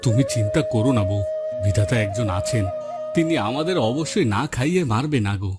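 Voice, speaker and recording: A man's voice: medium pitch, lively intonation, brisk, over music, natural voice. A man voicing an earnest, humble poor village fisherman, a husband and father, in a cartoon story. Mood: dejected, reassuring. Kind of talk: Bengali moral story cartoon dubbing.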